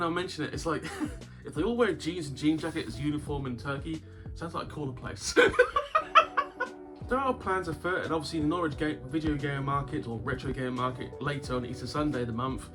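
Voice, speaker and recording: Sore-throated man, slightly high voice. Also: expressive, normal speed. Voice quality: slightly muffled by a cough sweet in the mouth. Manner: rambling, wry, chuckles often. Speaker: YouTube game collector host. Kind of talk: casual chat vlog. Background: background guitar music.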